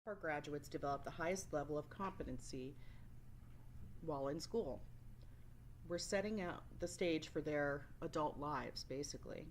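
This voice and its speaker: Low-voiced woman presenting staff training. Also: normal speed, slight background noise. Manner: instructional, explanatory. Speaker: work-based learning resource teacher. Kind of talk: scripted training presentation voice-over.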